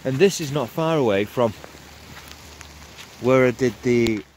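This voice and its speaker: A man's voice: mid-range voice, a little slow, a little mellow. A man gives a casual, hesitant running commentary as an exploring vlogger, thinking aloud as he walks outdoors with a handheld phone. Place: outdoors among derelict buildings in winter woodland. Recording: wind and outdoor noise on phone mic.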